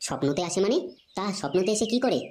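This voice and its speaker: A woman's voice: on the low side, animated, racing, natural voice. A woman voicing a laid-back, teasing older cartoon boy who talks in casual slang, with a West Bengali accent. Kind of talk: comedy cartoon dialogue. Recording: clearly noisy background.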